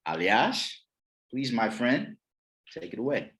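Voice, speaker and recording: A man moderating an online poetry conference, who talks haltingly with frequent restarts. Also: medium pitch, big pitch swings, fairly bright tone. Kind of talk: conference conversation. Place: video call.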